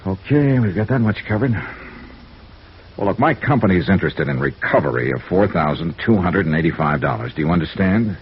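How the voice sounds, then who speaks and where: Animated hard-boiled radio investigator. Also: male voice, low voice, brisk. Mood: firm, pressing. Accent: American. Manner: clipped, businesslike. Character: brisk, hard-boiled male insurance investigator. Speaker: radio drama lead and narrator. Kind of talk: old-time radio noir acting. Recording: muffled, noisy old radio recording.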